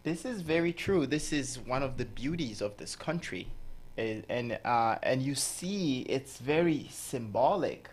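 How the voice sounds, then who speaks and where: A man's voice: mid-range voice, lively intonation, measured pace. Man, hesitant guest. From Nigeria. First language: Hausa. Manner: laughs often, hesitant with many fillers. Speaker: radio guest. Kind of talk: casual radio interview.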